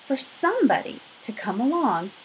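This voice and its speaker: Woman reading a picture book to kids, super animated and highish, bit of noise on a home webcam. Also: normal speed, poor audio. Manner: animated, emphatic, stretches key words. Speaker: storybook reader for children. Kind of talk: expressive children's read-aloud. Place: small room at home.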